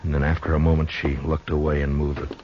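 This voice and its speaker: An actor playing a weary, steady frontier lawman narrating a radio western in a measured, grave way. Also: extremely low pitch. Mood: grave, watchful. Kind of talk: dramatic old-time radio western narration. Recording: dull, noisy old radio recording.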